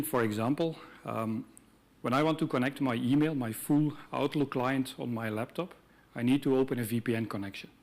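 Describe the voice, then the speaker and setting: Belgian man giving a relaxed tech talk. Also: medium pitch. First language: not English. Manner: conversational, relaxed, with frequent ums. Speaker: conference speaker, IT trainer. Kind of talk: conference tech presentation. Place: conference stage.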